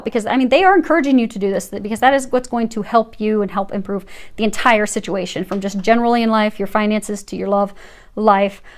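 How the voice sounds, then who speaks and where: Woman, tarot reader, soft reassuring tone, casual, lots of ums and restarts. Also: mid-range voice. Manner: gentle, reassuring, many fillers and restarts. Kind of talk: casual tarot reading to camera.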